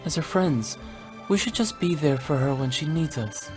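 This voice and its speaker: Poised young opera performer. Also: female voice, low-pitched, lively intonation, normal speed, a little mellow. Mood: reassuring. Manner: polite, poised, earnest. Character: graceful, polite young female opera performer. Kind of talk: game voice acting. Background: background music.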